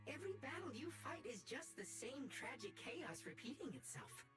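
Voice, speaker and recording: A woman voicing a solemn, duty-bound robot guardian in a video game, speaking in a measured, grave way. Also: high-pitched, very animated, music in the background.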